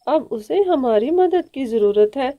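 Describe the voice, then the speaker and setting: Cartoon aunt bird female performer: high voice, measured pace, forthright, scolding, fiercely protective. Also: expressive, narrow, tinny audio. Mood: indignant, rallying. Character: bossy, protective elder aunt bird, outspoken and warm-hearted. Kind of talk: Hindi children's cartoon dialogue.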